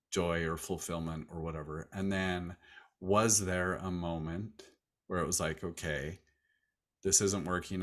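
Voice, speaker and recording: A man hosting a podcast, asking rambling, relaxed questions in a casual chat. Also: deep voice. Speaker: podcast host.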